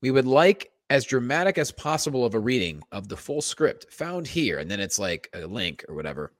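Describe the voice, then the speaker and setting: A man's voice: mid-range voice, sing-song, moderate pace, no room sound. A man co-hosting a comedy podcast, moving between casual banter and mock-dramatic reading. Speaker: podcast co-host.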